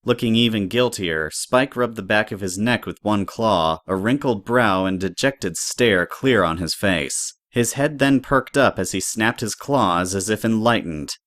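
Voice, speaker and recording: A woman's voice: rumbling low, unhurried, few pauses, no echo, studio quality. A woman narrator reading scripted story prose for an audio drama.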